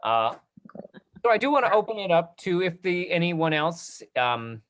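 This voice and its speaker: A woman's voice: lowish voice, sing-song, moderate pace. A woman moderating an informal question session over a video call. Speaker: discussion moderator. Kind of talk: hosting an online Q&A.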